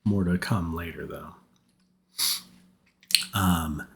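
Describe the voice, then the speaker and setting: A man giving casual gaming commentary in a rambling, think-aloud way, his voice rough from repeated colds. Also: sits low. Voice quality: husky, rough voice. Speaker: game content creator.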